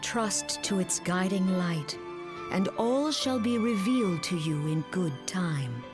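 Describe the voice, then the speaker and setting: Slow, solemn woman mystic leader. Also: on the low side, expressive, over music. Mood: gentle, assured. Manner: measured, formal, archaic diction. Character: serene, solemn female mystic leader, wise and grave. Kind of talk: scripted fantasy game cutscene acting.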